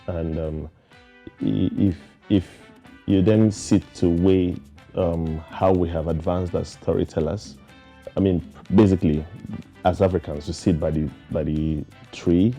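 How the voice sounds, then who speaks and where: A Nigerian man speaks as an interview guest in a low, very soft and dark voice. He explains his points thoughtfully, repeating words for emphasis. Faint music sits behind him. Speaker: guest theatre practitioner.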